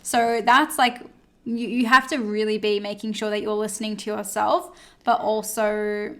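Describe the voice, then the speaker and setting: A woman's voice: mid-range voice, level tone, normal speed. A woman co-hosting a podcast, talking earnestly in casual chat and repeating words for emphasis. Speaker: podcast co-host.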